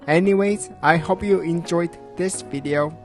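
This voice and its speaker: A man from South Korea with a light Korean accent, narrating a personal story in a calm, earnest, conversational way. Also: fairly high pitch, measured pace, faint music behind. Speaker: animation storytime creator.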